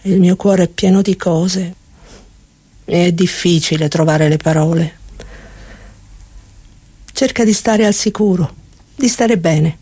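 Worried tender mom reading a letter, radio play, slow, husky and soft dark tone, gentle. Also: female voice, lowish voice, no echo. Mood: tender worry. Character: anxious, tender mother writing to her daughter.

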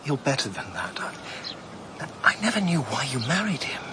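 An actor playing a shy, tongue-tied man in a radio drama, halting and earnest. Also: mid-range voice, big pitch swings, background noise, lightly acted. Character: Thomas, shy, awkward, devoted man. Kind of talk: BBC radio drama acting.

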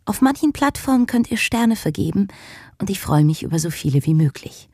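A woman's voice: medium pitch, animated, fairly quick, mellow, husky, no echo, studio quality. A woman reading a scripted podcast outro in the first person, as the series' heroine. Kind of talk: scripted narration.

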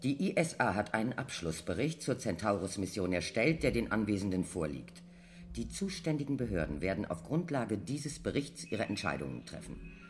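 A man's voice: fairly low pitch, big pitch swings, normal speed, slight background noise. An actor in a radio drama playing a stern, impatient male chairman of a hearing, formal and officious.

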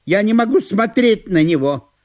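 A man playing a prim, offended provincial gentleman who speaks in a pompous, emphatic, indignant way in a theatrical audiobook. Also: high voice, lively intonation, moderate pace, voice pushed up.

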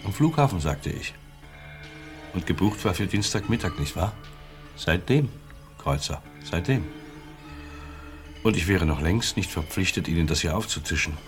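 An actor playing a smug East German secret police officer in radio drama dialogue, measured, insinuating and officious. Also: male voice, deep voice, animated, over music. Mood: menacing.

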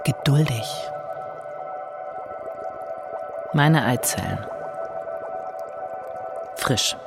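An actress playing a woman narrating a dark, trancelike inner monologue in hushed, breathy, deliberate short phrases. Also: rumbling low, over music, exaggerated voice. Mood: entranced, tender. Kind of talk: intimate audio drama monologue.

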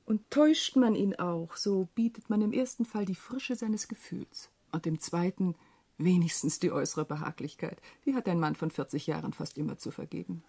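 An actress playing a weary, shrewd young widowed landowner in a German radio play, husky and speaking in a measured, reflective way. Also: female voice, lowish voice, dark tone.

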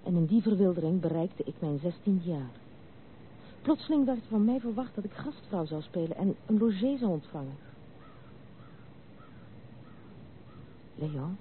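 A woman's voice: lowish voice, animated, normal speed, natural voice. An actress playing a spirited but wounded young noblewoman in a Dutch radio drama, speaking candidly and earnestly in literary phrases. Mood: reminiscing. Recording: narrow tinny old radio recording, faint hiss.

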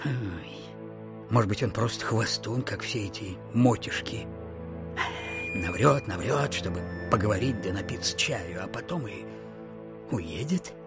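An actor playing a miserly, suspicious old landowner in an audio drama, muttering and repeating his words in a querulous, husky voice. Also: lowish voice, expressive, measured pace, over music. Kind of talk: Russian audio drama acting.

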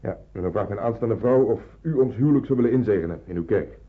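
A man's voice: lowish voice, expressive, fast talker, natural voice. A man acting a polite, friendly half-Dutch German soldier in a wartime radio drama. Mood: polite, explaining. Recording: muffled, band-limited old radio recording.

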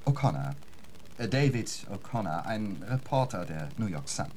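A man's voice: fairly low pitch, big pitch swings, normal speed, darkish tone, a little hiss or hum. A man playing a genteel, theatrical lecturer in a radio drama, protesting politely in flowery, mannered phrases. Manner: flowery, mannered, eager to persuade. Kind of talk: German radio drama acting.

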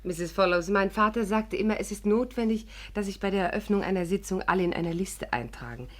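An actress plays a clever, polite amateur sleuth with a voice of medium pitch. She is earnest and articulate, in the style of a 1950s German radio play. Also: a little fast, close to an everyday voice. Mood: courteous. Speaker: heroine and narrator.

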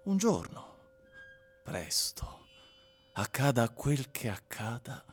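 An actor playing a haunted man recounting his ordeal in a measured, theatrical literary radio reading. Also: medium pitch, big pitch swings, slightly rough, exaggerated voice. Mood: reflective.

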